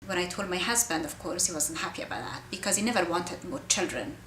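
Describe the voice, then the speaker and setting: A woman's voice: fairly low pitch, fairly bright tone, a little hiss or hum. Middle-aged Afghan woman, echoing room. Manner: earnest, short halting phrases with non-native grammar. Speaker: interviewee, poet. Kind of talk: personal testimony in an interview. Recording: roomy, echoing sound.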